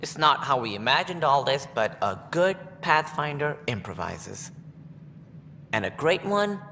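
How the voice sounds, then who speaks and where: A calculating alien director in a sci-fi game. He is pompous and formal, with a bright, very mobile pitch. The voice rings in a large hall over faint ambience. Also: big pitch swings, a little slow, vocal fry, slight background noise, full character voice. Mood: flattering. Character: smooth, calculating male alien director, self-important political schemer.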